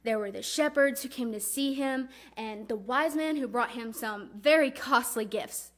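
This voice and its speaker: A woman doing a devout mom remembering her son, high pitched, earnest n reverent, stage monologue, grieving but faithful. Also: lightly acted. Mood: tender wonder. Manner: earnest, reverent, deliberate storytelling. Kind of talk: dramatic religious monologue performance.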